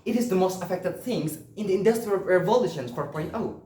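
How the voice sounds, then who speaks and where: A man's voice: high-pitched, expressive, fairly quick, warm tone. A young man from Indonesia with an Indonesian accent, delivering a memorized formal speech as a contestant and addressing the audience again and again in a rhetorical way. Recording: echoey room, mic at a distance.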